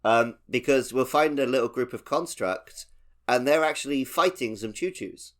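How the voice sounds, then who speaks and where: A man's voice: medium pitch, normal speed. A man co-hosting a casual video game podcast, explaining things in a conversational way. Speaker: podcast co-host.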